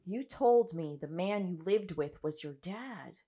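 Shaken determined young woman, lowish voice, talks real slow and grave, audio drama. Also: lively intonation, mellow, slightly rough. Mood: urgent, concerned. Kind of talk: audio drama narration and dialogue.

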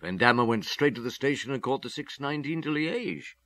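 An actor playing a reflective police detective, recounting a past case in a measured way for a radio drama. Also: male voice, mid-range voice, expressive, pushed and bright, no echo. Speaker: detective narrator. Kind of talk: old radio drama storytelling.

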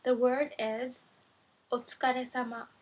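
A young woman whose first language is Japanese, teaching simple phrases in a casual video lesson from her bedroom, speaking slowly and repeating each phrase twice on a webcam mic. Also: on the high side, flat delivery, slightly rough. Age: young adult. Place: bedroom, indoors. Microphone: webcam at desk.

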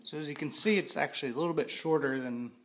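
A man giving a casual how-to walkthrough in a garage, talking plainly with frequent restarts as he films on a handheld phone. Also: on the high side. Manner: plain, halting, restarts mid-sentence. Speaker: DIY hobbyist showing a build. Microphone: handheld phone camera.

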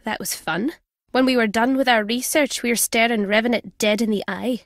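A woman plays an older British former spy and journalist in a video game. Her voice sits fairly high, in a dry, close recording. She is wry and guarded, teasing yet fond as she speaks. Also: moderate pace, dry recording, natural voice. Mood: guarded, serious. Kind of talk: video game character dialogue.

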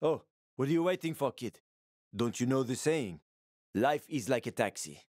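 A man's voice: medium pitch, animated, moderate pace, darkish tone, natural voice. A wry, weary survivor from a video game, acted by a man, with a mid-range male voice. He talks casually and sardonically, like someone who has seen too much. The sound is very dry, free of room echo. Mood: bitter, resigned. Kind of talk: video game character dialogue.

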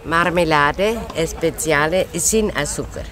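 A man vendor haltingly listing his products at an outdoor market. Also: on the high side, sharp tone. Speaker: food vendor.

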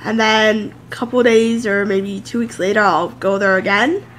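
A woman's voice: mid-range voice, fairly even intonation, moderate pace, fairly bright tone, slight background noise. A woman in her thirties talking casually to camera in a home office, rambling and chatty, sounding congested and a bit nasal. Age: 30s. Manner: rambling, chatty, many fillers. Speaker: vlogger. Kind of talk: casual sit-down chat to camera.

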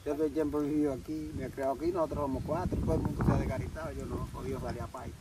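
A man's voice: mid-range voice, fairly even intonation, rapid-fire, heavy background noise. A man with a rural Dominican Spanish accent, a farmer talking plainly about his life in an outdoor interview. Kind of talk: casual chat.

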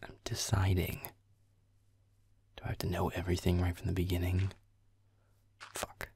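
A man playing a grumpy, irritable young werewolf boyfriend in an audio roleplay, muttering sarcastically in a gravelly, breathy near-whisper. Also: deep voice, monotone, moderate pace, darkish tone, no room sound, clean studio sound, full character voice. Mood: irritated, exasperated. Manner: muttering, grudging, sarcastic, sweary. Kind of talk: intimate audio roleplay over gameplay.

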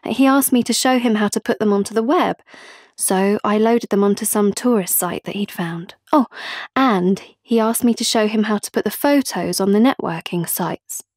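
An actress playing a blunt teenage sister, chatty and wry, in an audio drama monologue. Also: female voice, medium pitch, moderate pace, few pauses, a little mellow, dry recording, clean studio sound, natural voice. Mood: matter-of-fact.

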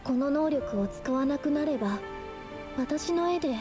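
An actress playing a gentle, earnest young woman painter in a Japanese anime game, speaking politely and shyly. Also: high voice, expressive, moderate pace. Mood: gentle, sorrowful. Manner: polite, soft-hearted, earnest. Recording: game music behind the voice.

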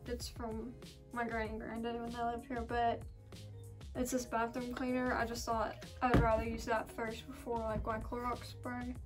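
A woman's voice: a little high, completely flat delivery, normal speed, far from the mic, music in the background. A young woman, chatting casually through a home cleaning vlog with lots of ums. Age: young adult. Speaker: vlogger. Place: small house rooms, indoors. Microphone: camera on a stand, from across the room.

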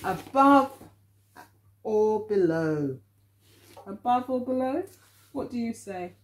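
A middle-aged woman, an early years teacher, reads a picture book aloud to young children, expressively and deliberately, with questions for them. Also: medium pitch, expressive. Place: living room at home, indoors.

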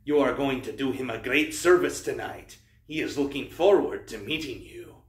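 A cold, menacing monster hiding behind a stern teacher's manners, as a man acts him out. He barks short, formal commands in a middle-pitched voice. The sound is roomy and a little distant from the mic. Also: normal speed, close to an everyday voice. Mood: gloating.

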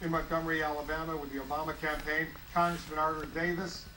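A man anchoring a political news broadcast, brisk and formal as he introduces guests and asks questions. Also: fairly high pitch, flat delivery. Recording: echoey broadcast audio with loud noise.

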